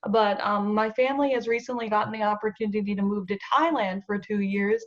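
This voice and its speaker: A woman's voice: medium pitch, brisk. Young female guest, faint Southern US accent, rambling and self-deprecating, lo-fi call audio. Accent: slight Southern US. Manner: chatty, self-deprecating, many fillers. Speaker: guest model. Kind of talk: casual interview chat.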